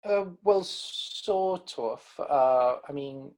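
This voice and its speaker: Man, hesitant and halting. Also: mid-range voice, expressive, darkish tone. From Caribbean coast of Colombia. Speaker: band musician being interviewed. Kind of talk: casual interview chat.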